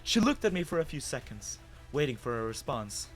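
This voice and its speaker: A man's voice: medium pitch, sing-song, fairly quick, soft background music, close to an everyday voice. A man playing a bewildered human with lost memory, narrating his own story in a dramatic, hesitant, wondering way. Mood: stunned, observant.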